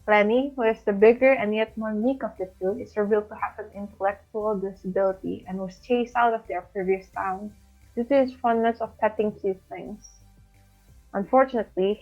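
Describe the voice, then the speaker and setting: A young woman from Indonesia reads a prepared story summary aloud at a medium pitch. The recording is narrow and phone-like, with music playing behind her voice. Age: young adult. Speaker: student reader. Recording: thin phone-like audio with background music.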